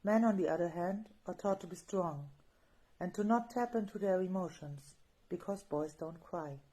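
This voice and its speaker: A woman reads a speech aloud in a calm, steady way. Her voice is lowish and mellow, with a soft, dark tone. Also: normal speed, poor audio. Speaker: organizer reading part of a speech.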